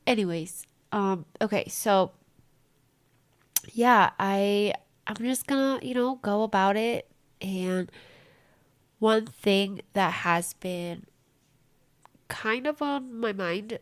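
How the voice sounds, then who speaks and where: A woman's voice: medium pitch, slow pace, long pauses, smooth. A young woman with a congested voice, rambling through a casual diary-style podcast from a small room at home. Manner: rambling, chatty, self-interrupting. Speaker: podcast host.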